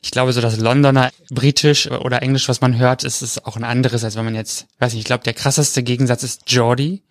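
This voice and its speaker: A man's voice: mid-range voice, level tone, fairly quick, dry recording. A man co-hosting an interview podcast, musing and hedging as he talks. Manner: musing, hedging with ne and glaube ich. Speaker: podcast co-host. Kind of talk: casual interview chat.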